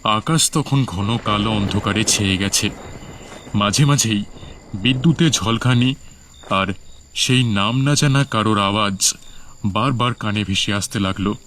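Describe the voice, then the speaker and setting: A man's voice: on the low side, unhurried, pauses often, a bit bright, a little hiss or hum. A man telling a first-person horror story as scripted cartoon narration. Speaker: narrator and lead character.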